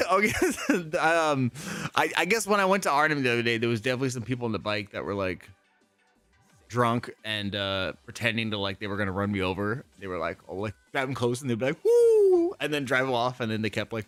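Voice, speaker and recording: A man telling lively anecdotes in a casual interview chat, laughing often and acting out the people in his stories. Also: medium pitch, brisk, no echo, soft background music. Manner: animated storyteller, laughs often, acts out people he quotes.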